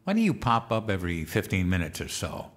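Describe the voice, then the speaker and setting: An actor playing a dim-witted, naive American president-elect in a comedic audio drama, with a hesitant, bumbling way of talking. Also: male voice, sits low, wildly swooping pitch, fairly quick, a bit bright, exaggerated voice. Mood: mildly curious.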